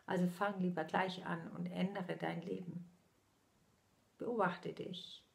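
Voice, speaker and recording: A woman in her sixties, a spiritual medium, delivering a channeled message slowly and solemnly with her eyes closed, often sinking into soft whispers, recorded close up on a laptop in a small room at home. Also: lowish voice, flat delivery, creaky.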